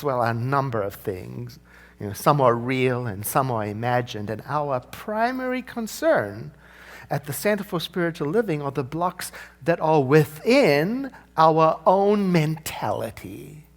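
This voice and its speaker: A man from South Africa with a South African accent, giving a sermon as minister in a conversational, storytelling way with frequent asides. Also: mid-range voice.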